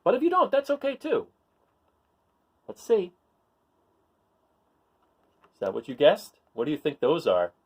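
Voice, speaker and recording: Man with a very animated, swooping delivery. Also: a little high, unhurried, pauses often. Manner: encouraging, asks listeners questions. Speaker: read-aloud host for children. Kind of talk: picture-book read-aloud with guessing-game chat.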